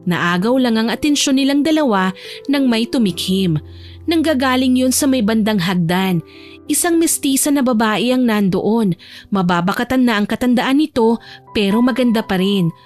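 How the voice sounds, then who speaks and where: Babaeng tagapagsalaysay, medyo mataas ang boses. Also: female voice, a little high, expressive, normal speed, faint music behind. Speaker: narrator. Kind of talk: scripted audio drama narration.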